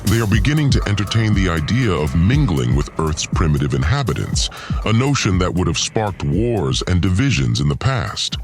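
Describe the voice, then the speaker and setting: A man's voice: deep voice, big pitch swings, normal speed, over music. A man playing a vengeful, disdainful god lord in an audio drama, delivering a formal, pompous and menacing villain monologue. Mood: indignant, scornful. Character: vengeful, disdainful male god lord plotting against humans.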